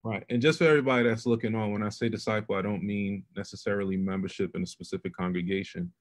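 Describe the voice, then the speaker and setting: A man's voice: lowish voice, fairly even intonation, fast talker. A man hosting an interview over a video call, earnest and affirming as he asks open questions. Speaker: host. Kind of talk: conversational interview.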